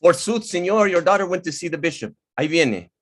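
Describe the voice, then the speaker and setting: Man acting an eager, nervous servant, swooping pitch. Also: animated, fast. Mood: nervous, announcing. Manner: deferential, theatrical. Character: eager, nervous male servant to a fiery boss. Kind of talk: table read of a comic Shakespearean-style play. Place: indoors, on a video call.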